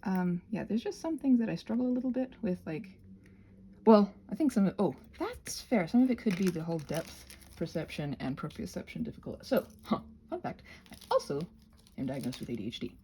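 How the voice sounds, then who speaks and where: Gravelly, husky woman rambling through crafts. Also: fairly low pitch, very animated, a little fast, warm tone. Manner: hesitant, meandering, self-deprecating asides. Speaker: craft channel host. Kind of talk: casual rambling craft demonstration.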